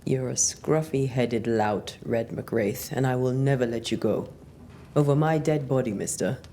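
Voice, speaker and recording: An actress playing a resolute, wry ghost banisher in a video game, stern but loving, with a firm, measured manner. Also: female voice, a bass voice, dark tone, a little hiss or hum. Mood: teasing, loving. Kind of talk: naturalistic video game acting. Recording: faint music behind the voice.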